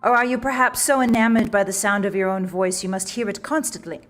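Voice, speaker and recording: A woman's voice: mid-range voice, brisk, a bit bright, soft background music. A woman narrating a comic visual novel in a wry, arch, mock-grand storyteller's manner.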